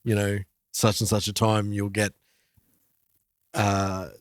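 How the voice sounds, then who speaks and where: Lowish-voiced man, dry podcast sound. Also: little pitch movement, slow pace, no echo. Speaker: podcast host. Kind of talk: casual podcast chat.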